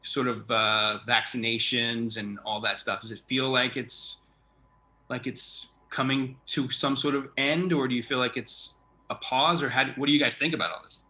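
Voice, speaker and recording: A man's voice: mid-range voice, steady tone, moderate pace, pushed and bright. A man hosting a casual interview, talking in an informal, enthusiastic way. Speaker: interview host, artistic director.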